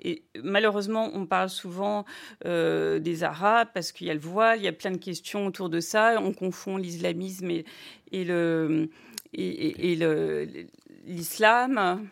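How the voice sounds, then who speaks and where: A woman's voice: lowish voice, unhurried, vocal fry. A woman interviewing a guest, asking probing questions with many hesitant restarts. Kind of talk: conversational interview.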